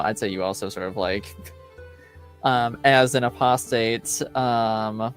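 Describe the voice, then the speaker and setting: A man improvising narration as game master in a tabletop roleplaying game, halting as he searches for his words. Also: medium pitch.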